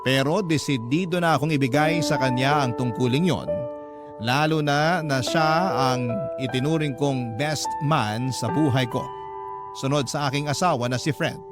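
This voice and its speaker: A man's voice: medium pitch, moderate pace. A man with a Filipino accent narrating a listener's letter as a dramatic radio storyteller. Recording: loud music under the voice.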